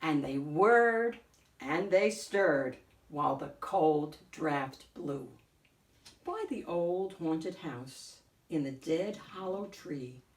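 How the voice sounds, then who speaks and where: A woman reading a picture book aloud as host, in a deliberate, theatrical storytelling way. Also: lowish voice, distant mic. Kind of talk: expressive picture-book narration. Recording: echoey room, off the mic.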